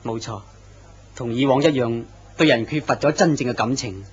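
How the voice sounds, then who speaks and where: A man's voice: mid-range voice, moderate pace, breathy edge. An actor playing a polite, earnest mid-level office man in a horror radio drama, courteous and sincere in conversation. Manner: courteous and earnest, turns startled when threatened. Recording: dull lo-fi recording.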